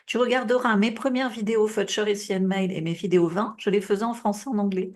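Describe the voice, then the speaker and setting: A woman's voice: medium pitch, fairly even intonation, fast talker. A French-speaking woman in the middle of her range gives advice in a loose, conversational flow. She leans on fillers and keeps checking in with the listener, and her voice sounds slightly tired and prone to coughs. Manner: casual, advising, many fillers and tu vois. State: coughing, voice tiring. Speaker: business coach. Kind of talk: group coaching call.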